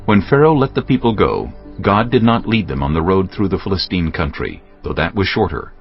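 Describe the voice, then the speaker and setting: A man narrating scripture from a script in a measured, solemn way. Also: low-pitched, expressive, highs cut off, soft background music.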